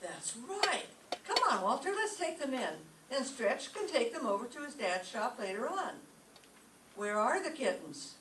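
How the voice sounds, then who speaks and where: Wry, wisecracking female teacher played by a woman for radio comedy; very animated, slightly high, far-off mic. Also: unhurried. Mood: brisk. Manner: dry wisecracking delivery. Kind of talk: old-time radio comedy acting. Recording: echoey stage, distant mic, faint noise.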